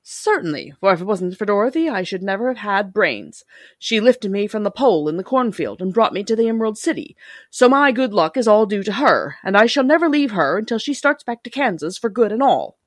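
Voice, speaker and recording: A woman voicing a thoughtful, loyal and practical scarecrow in a storybook, with a measured, reasoning manner. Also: mid-range voice, big pitch swings, dry recording, studio quality. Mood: thoughtful, decided. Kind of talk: storybook character reading.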